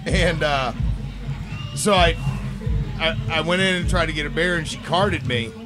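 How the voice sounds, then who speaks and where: A man's voice: a little high, measured pace, over music. A man in his forties from Maine, telling dry, rambling stories in a casual group chat. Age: 40s.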